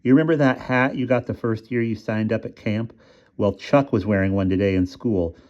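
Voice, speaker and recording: A man's voice: lowish voice, normal speed, a little mellow, highs cut off, lightly acted. A man voicing an impatient teenage boy talking to his friend on the phone. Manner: blunt, impatient.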